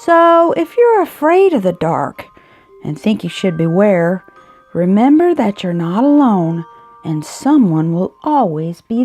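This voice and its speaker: A woman reading a children's picture book aloud, expressively, with drawn-out emphasis on key words. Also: mid-range voice, dark tone. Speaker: storybook reader. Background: music.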